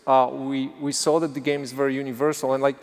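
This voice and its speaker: A man with a Polish accent, a native Polish speaker, answering an audience question on a game panel in an earnest, halting way. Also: medium pitch, flat delivery. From Poland. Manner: earnest, halting, repeats words. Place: large convention hall. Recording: convention hall reverb.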